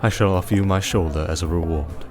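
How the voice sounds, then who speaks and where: A man playing a scheming, refined vampire archduke in an ASMR audio drama, speaking in a measured, formal, condescending way. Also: sits low, lightly acted. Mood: pleased, teasing. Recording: music bed under the voice.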